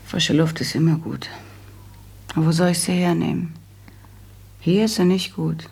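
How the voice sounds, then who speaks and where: A young widow in a radio play, proud and sensible. Her voice is very husky with a lot of creak. She answers drily and firmly, with gentle reproof. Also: deep voice, moderate pace, heavily acted. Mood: weary, dry. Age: young adult. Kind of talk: German radio play acting.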